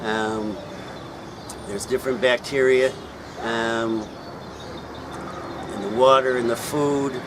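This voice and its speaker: A middle-aged man explaining things in a casual vlog, pausing often with fillers and restarting his sentences. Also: mid-range voice, steady tone. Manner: hesitant, many fillers and restarts. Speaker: vlogger. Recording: loud outdoor traffic noise.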